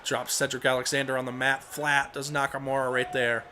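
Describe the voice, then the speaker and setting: A young man with a hoarse voice from a cold, commentating a wrestling video game play-by-play and rising to shouts on big moves. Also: mid-range voice, level tone, brisk. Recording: home recording with clear background noise.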